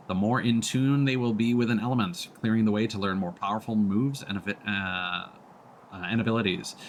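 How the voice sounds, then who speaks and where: A man's voice: lowish voice, a bit bright, a little hiss or hum. A man giving casual let's play commentary as a game streamer, reading on-screen text aloud. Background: faint game music.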